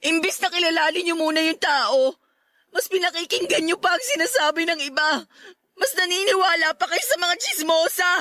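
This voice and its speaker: A lovesick young Filipino daughter in a radio drama. She pleads in a very high, bright, whiny voice, defiant and emotional. The recording is dry. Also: lively intonation, normal speed, dry recording, full character voice. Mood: tearful, defiant. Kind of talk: Tagalog radio drama acting.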